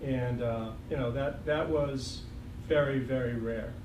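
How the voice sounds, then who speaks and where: A man's voice: medium pitch, fairly even intonation, measured pace. A man in his sixties with a slight Boston accent gives a reflective, lightly joking farewell speech as a school superintendent, with frequent ums. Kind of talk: farewell speech at a board meeting. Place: school board meeting room. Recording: echoey, distant, noisy room recording.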